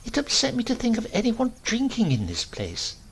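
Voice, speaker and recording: A man's voice: extremely high pitch, huge pitch swings, moderate pace, vocal fry, breathy edge, voice pushed up, exaggerated voice. Comic-tale lady played by a male narrator: prim English woman, raised voice, courteous, dignified, formal. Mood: prim, softening. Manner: formal, proper, politely questioning.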